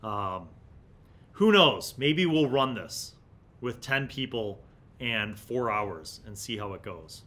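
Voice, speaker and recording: A man's voice: fairly low pitch, animated, slow pace, a bit bright. A middle-aged man hosts a casual review, musing in stop-and-start phrases and reading excerpts aloud into a desk mic. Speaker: host of a tabletop gaming review series. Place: small indoor studio room. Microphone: desk microphone in front of him.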